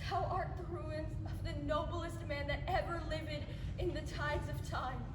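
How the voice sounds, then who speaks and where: Girl playing grieving vengeful roman orator on stage, super high voice, big dramatic shakespeare delivery, echoey and noisy. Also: fairly even intonation. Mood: mournful. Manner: declamatory, measured, with dramatic emphasis. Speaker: student stage actor. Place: theatre stage in a large hall, far camera. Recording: echoey hall from far off, noisy.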